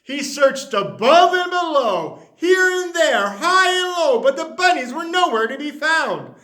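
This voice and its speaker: A man reading a children's picture book aloud, expressive and dropping to a hush on the refrains. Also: very high voice, a little slow. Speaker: storyteller.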